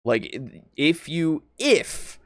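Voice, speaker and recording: A man's voice: a little high, sing-song, very slow, dry recording, clean studio sound. A man talking casually as a podcast co-host, rambling and thinking aloud with some hesitation. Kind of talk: casual discussion.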